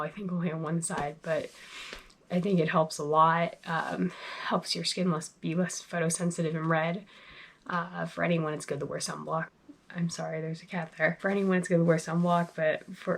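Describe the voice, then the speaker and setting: Husky, gravelly young woman vlogging. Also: low voice. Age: young adult. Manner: relaxed, chatty, lots of fillers and "like". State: recovering from a cold, sniffly. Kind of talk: casual talk to camera.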